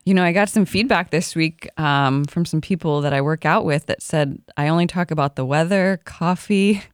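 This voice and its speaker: A woman's voice: deep voice, normal speed, clean tone, no echo, clean studio sound. A woman co-hosting a podcast with casual banter. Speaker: podcast co-host.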